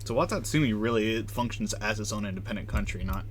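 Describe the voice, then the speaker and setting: A man's voice: on the low side, quick pace. A man giving casual live commentary over gameplay, with wry, slangy reactions. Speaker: live game streamer.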